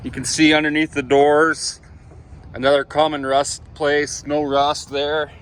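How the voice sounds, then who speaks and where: Slow-talking man pitching a truck. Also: level tone, a bit bright, slight background noise. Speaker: used truck salesman. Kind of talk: casual walkaround and test-drive sales pitch. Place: outdoors around a truck and inside it while driving.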